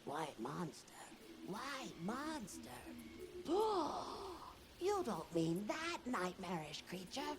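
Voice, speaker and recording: Pompous lil forest critter merchant for a game, broken fancy talk, super animated, noisy ambience behind. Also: female voice, medium pitch, drawn-out words, exaggerated voice. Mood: fearful. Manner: pompous malapropisms in broken, theatrical speech. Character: small proud forest creature, quaint trader and linguist. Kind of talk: video game character dialogue. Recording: game audio with loud background ambience.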